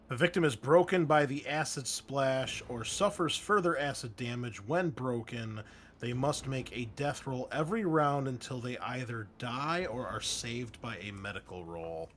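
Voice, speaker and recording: A man's voice: medium pitch, a bit bright. A man running a tabletop roleplaying game, talking casually and thinking aloud as he works through the rules. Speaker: game master. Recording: music behind. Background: music.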